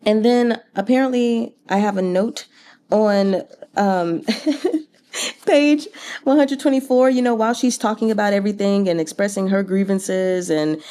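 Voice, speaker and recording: A woman's voice: mid-range voice, unhurried, mellow. A woman talking casually and expressively through a book recap, with conversational, incredulous asides. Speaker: book read-along vlogger.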